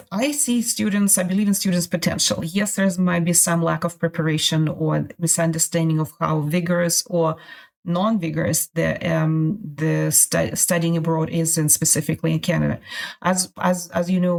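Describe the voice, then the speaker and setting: A middle-aged woman from Russia, a guest on a podcast interview, talking in halting phrases with many restarts. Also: on the low side, gravelly, a little mellow. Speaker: guest, immigration consultant.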